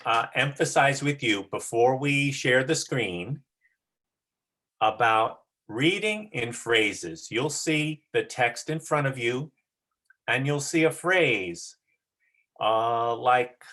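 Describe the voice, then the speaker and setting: An adult drama teacher instructs readers at an online rehearsal. The delivery is unhurried and deliberate, broken into short phrases, with lively swings in pitch and clear emphasis. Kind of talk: instructional talk on a video call.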